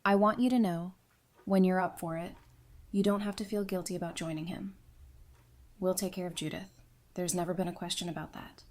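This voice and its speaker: An actress playing a supportive, caring, plain-spoken friend in an audio drama. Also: female voice, fairly low pitch, steady tone, a little fast, pauses often, a little mellow, natural voice. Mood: reassuring.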